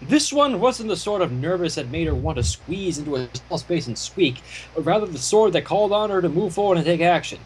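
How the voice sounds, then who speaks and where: High-voiced, animated male storyteller. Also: fairly quick, low-quality recording. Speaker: fan fiction reader. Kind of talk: story narration with casual commentary. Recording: home microphone with clear noise.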